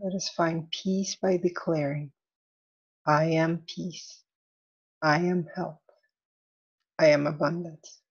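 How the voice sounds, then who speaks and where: A woman leading a guided meditation as an instructor, soothing and deliberate, in measured phrases with long pauses. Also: low voice, fairly even intonation, breathy edge, muffled recording.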